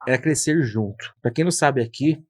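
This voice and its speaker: A man's voice: medium pitch, normal speed, no echo, clean studio sound. A man in his thirties, a hairdresser and podcast host, telling his story in an earnest, reflective way. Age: 30s. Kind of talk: casual conversation.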